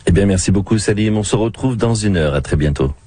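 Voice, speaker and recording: A man's voice: on the low side, animated, fairly quick. A man hosting a live radio news program and handing over to reporters.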